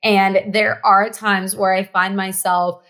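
A woman's voice: mid-range voice, little pitch movement, takes it slowly, a bit bright. Earnest woman from Mexico, repeats herself. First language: Spanish. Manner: earnest, restarts and repeats phrases for emphasis. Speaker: guest. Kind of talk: casual podcast conversation.

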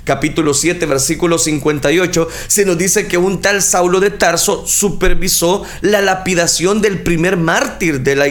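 A man preaching a sermon, speaking earnestly and addressing the listeners directly, with frequent pauses and fillers. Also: high voice, fairly bright tone. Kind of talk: sermon on scripture.

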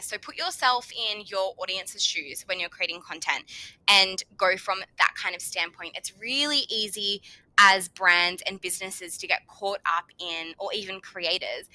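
Bright, slightly hoarse woman podcaster. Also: on the low side, poor audio. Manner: chatty, upbeat advice-giving. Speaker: podcast host. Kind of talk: solo marketing podcast talk.

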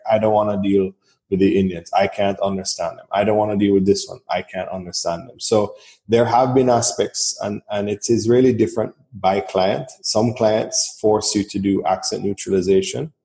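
Deep, mellow Jamaican man. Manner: fluent, repeats words while finding his point. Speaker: business process outsourcing guest. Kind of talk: podcast interview.

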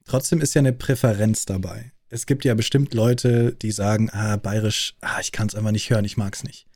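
Male interviewer, casual and inquisitive, warm dark timbre, dead-dry sound. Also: lowish voice, fairly even intonation, moderate pace, no room sound. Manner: curious, chatty questioning. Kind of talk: casual conversation.

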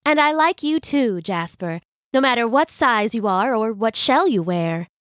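A practical, kindly female crab from a children's story, acted by a woman. The voice is fairly high, with an encouraging and earnest delivery. The recording is dry. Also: expressive, unhurried, a bit bright, no room sound. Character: practical, kind, reassuring female hermit crab.

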